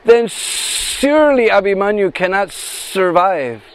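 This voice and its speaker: Man voicing a solemn servant snake, drawn-out halting lines, raised voice. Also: high voice, expressive, no echo, voice pushed up, full character voice, low-quality recording. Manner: slow, halting, deliberate.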